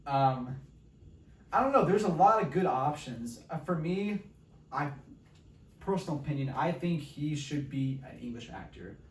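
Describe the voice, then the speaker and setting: A man's voice: a little high, lively intonation, a little slow. Guy podcast host chatting casually about movies, echoey and a bit far from the mic. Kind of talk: casual film discussion. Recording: roomy, distant mic, faint noise.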